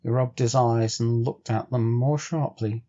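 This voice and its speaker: A man reading a dramatic adventure story as a measured, theatrical storyteller. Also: mid-range voice, steady tone, mellow, lo-fi sound. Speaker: storyteller, actor. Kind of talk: dramatic audiobook narration.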